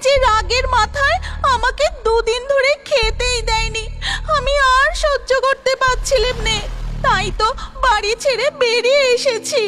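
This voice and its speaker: A woman's voice: very high voice, steady tone, a little slow, sharp tone, faint music behind, full character voice. A woman voicing a sorrowful, aggrieved young noble housewife in a cartoon story, plaintive, with a Bengali accent. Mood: tearful, aggrieved. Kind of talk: melodramatic cartoon story acting.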